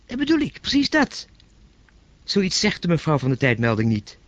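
A man's voice: fairly high pitch, wildly swooping pitch, moderate pace, no room sound, highs cut off. A man playing a baffled, persistent telephone caller in a radio play, questioning with irritable, incredulous curiosity. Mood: exasperated. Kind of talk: radio play acting, conversational.

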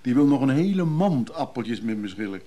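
A man acting a sardonic antique dealer in a radio play. He talks casually at a middle pitch, with a needling, teasing edge. The old recording carries faint noise. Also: very animated, fairly quick, warm tone, close to an everyday voice. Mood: concerned, wry. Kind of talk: naturalistic Dutch radio drama acting.

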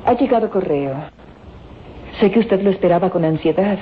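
An actress playing an elegant, cheerful yet concerned young daughter, expressive and polite, in old radio drama style. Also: female voice, medium pitch, normal speed, close to an everyday voice. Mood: hesitant, concerned. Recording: dull lo-fi old radio recording, hiss.

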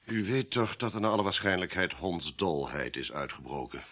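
A man acting in a Dutch radio drama as a gruff, cynical police inspector with a blunt, curt way of talking. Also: sits low, lively intonation, normal speed, a bit bright. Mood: grim, confidential. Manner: blunt, curt, matter-of-fact.

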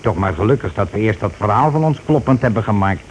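An actor playing a scheming, irritable husband in a radio play, speaking insistently and colloquially. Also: male voice, fairly low pitch, lively intonation, fast talker, natural voice. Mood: conspiratorial. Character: scheming, irritable husband covering his tracks. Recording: hissy old radio recording.

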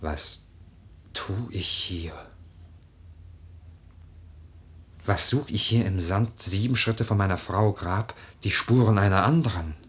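An actor playing a brooding, eloquent, lordly widower in a literary radio play, with lyrical, deliberate, declamatory delivery. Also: male voice, low voice, breathy edge, full character voice. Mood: troubled. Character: brooding, eloquent widower, poetic and lordly, drawn to a young woman.

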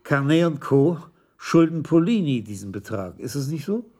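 Mellow-voiced, shrewd police commissioner. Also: male voice, a little high, big pitch swings, moderate pace. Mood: probing. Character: shrewd, calm senior Dutch police commissioner. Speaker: actor in a crime radio play. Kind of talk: 1980s German radio drama acting.

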